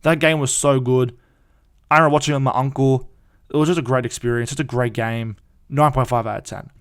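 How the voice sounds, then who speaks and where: Eager young man, smooth voice. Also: medium pitch, a little fast. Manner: excited, rambling, frequent restarts and fillers. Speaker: fan podcast host. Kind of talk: casual solo podcast review.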